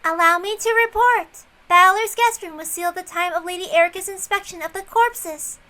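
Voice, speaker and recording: A woman's voice: very high-pitched, fairly even intonation, a little fast, bright, forceful tone, voice pushed up. A woman voicing a formal, dutiful female servant who reports solemnly in a visual novel reading. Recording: music under the voice.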